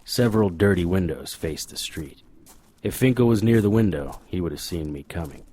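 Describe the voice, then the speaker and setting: An actor playing a deadpan, hardboiled private investigator, narrating and speaking in a noir audio drama. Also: male voice, low-pitched, moderate pace. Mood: deadpan, tense. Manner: deadpan, wisecracking.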